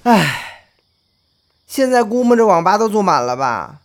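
A woman's voice: medium pitch, big pitch swings, unhurried. A woman playing a chatty, teasing young male college roommate in an audio drama, casual and pushy. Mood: teasing, coaxing. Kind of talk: Chinese online audio drama acting. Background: faint music.

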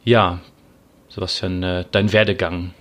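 A man's voice: low voice, level tone, measured pace, fairly bright tone. A man in his twenties hosting a casual podcast, talking with frequent hesitations and filler sounds. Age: 20s. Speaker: podcast host.

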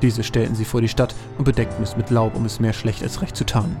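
A man's voice: medium pitch, level tone, fast talker, music in the background. A man narrating a scripted fantasy audio drama as a storyteller.

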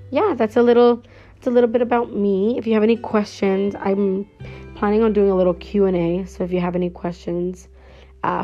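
A woman's voice: medium pitch, dark tone. A woman in her twenties talking casually and cheerfully on her own podcast, rambling with fillers. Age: 20s. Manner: chatty and upbeat, rambling with fillers. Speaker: podcast host. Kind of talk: casual solo podcast intro. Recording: slightly dull lo-fi sound with faint guitar music.

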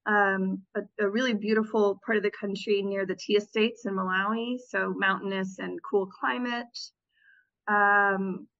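A woman in her thirties answering interview questions about her volunteer years, thoughtful, pausing and restarting often. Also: medium pitch, steady tone, fairly bright tone, muffled recording, a little hiss or hum. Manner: reflective, with frequent restarts and fillers. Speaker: interview guest, former Peace Corps volunteer.

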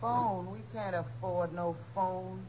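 An actress playing a bitter, worn-down rancher's wife in a 1950s radio drama, curt and resentful in manner. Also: female voice, lowish voice, slow pace. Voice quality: flat, clipped, resentful. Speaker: rustler's widow. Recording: muffled, hissy old radio broadcast.

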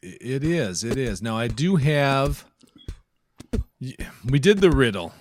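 A man's voice: medium pitch, very animated, unhurried, slight background noise. A man co-hosting a podcast, chatting casually with the others. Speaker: podcast co-host.

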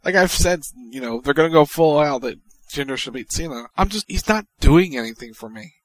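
A man's voice: fairly high pitch, expressive, fairly quick, no echo. Blunt man co-host, dry sound. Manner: blunt, opinionated. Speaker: podcast co-host. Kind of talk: casual wrestling chat.

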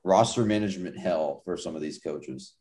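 A man's voice: low-pitched, normal speed, dark tone. A young man co-hosting a sports podcast, chatting casually with dry, wry remarks. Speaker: podcast co-host.

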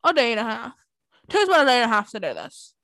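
A woman's voice: on the high side, wildly swooping pitch, rapid-fire, fairly bright tone, dry recording, studio quality. A young woman co-hosting a podcast, chatting casually, giggling and swearing freely as she riffs. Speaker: podcast co-host. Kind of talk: casual chat.

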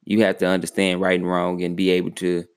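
Young male co-host with a low voice, relaxed and unhurried, a calm counterpart in casual chat. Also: smooth. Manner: laid-back, measured, often trailing off quietly. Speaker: podcast co-host.

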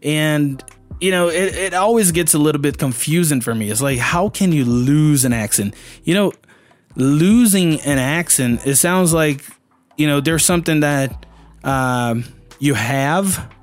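A Brazilian man whose first language is Portuguese, teaching English in a relaxed, chatty way, often saying "you know" and "man". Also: on the high side, measured pace. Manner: chatty, fills with "you know" and "man", acts out learners' voices. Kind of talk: casual video lesson.

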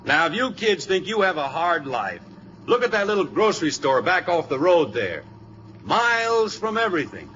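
A noisy vintage radio recording of a middle-aged American dad. His pitch is fairly high and swings freely as he reassures everyone with stubborn cheer, in sitcom style. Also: very animated, moderate pace, pushed and bright. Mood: coaxing. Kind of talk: old-time radio comedy acting.

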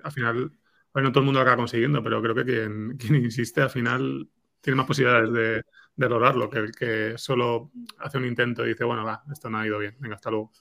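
A man's voice: mid-range voice, level tone, fast, gravelly, fairly bright tone. A man chatting informally and tentatively as an interviewed screenwriter. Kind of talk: casual interview chat.

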